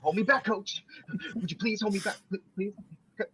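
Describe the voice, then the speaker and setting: A man's voice: high-pitched, normal speed, sharp tone, slight background noise. A man reading the part of a vain, overeager young man desperate to fit in, in a sitcom table read, pleading and showy. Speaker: podcast host reading a part. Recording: dull lo-fi recording.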